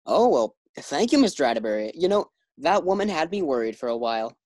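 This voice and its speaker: Woman doing a nervous young hubby, super low voice, bumbling, 1940s radio comedy vibe. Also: sing-song, a little fast, darkish tone, no echo, clean studio sound. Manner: eager, bumbling. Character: young husband George, eager bank clerk.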